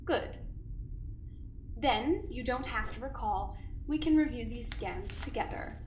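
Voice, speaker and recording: A silky, condescending female doctor, played by a woman probes her subjects with cold politeness. Her voice sits a touch high. It rings around an echoey room with a faint hiss. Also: a little slow, a little mellow, natural voice. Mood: coolly probing. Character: smooth, manipulative female doctor interrogating coldly. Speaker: doctor and investigator. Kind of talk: audio drama acting.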